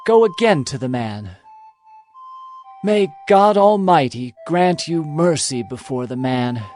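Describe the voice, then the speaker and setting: A man playing a slow, mournful old father. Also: fairly high pitch, a little mellow. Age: older.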